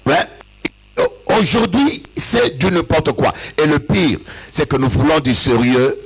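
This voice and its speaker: A bishop from Africa giving an impassioned church sermon, his voice rising in sing-song peaks and breaking into shouts. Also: fairly high pitch, big pitch swings, a little slow, gravelly, slightly rough, rough recording. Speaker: bishop and pastor. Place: church service with an audience.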